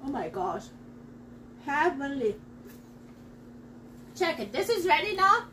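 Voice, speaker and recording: Middle-aged woman, very high voice, excitable home-kitchen demo host, slightly off-mic. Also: slow, far from the mic, background noise. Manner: chatty and excitable, talks straight to viewers. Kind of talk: live cooking demonstration. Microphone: camera across the counter.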